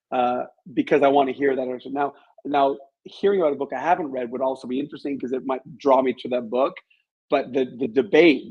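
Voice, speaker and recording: A man's voice: mid-range voice, a little mellow, breathy edge, dull audio. A middle-aged man answering as an interview guest, explaining things conversationally and with emphasis. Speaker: interview guest, author and martial arts instructor.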